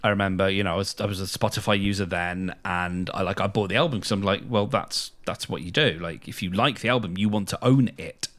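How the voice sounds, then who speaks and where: A man co-hosting a podcast, giving opinions casually, halting and repeating phrases for emphasis. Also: low-pitched, fairly bright tone. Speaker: podcast co-host.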